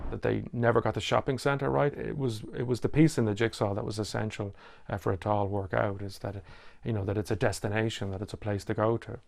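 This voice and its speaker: Gravelly low man. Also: fairly even intonation, fast, few pauses, darkish tone. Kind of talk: interview.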